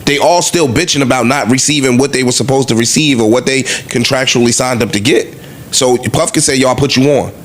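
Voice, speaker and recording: A man's voice: mid-range voice, brisk. A man in casual podcast debate, explaining his view by laying out examples in everyday slang.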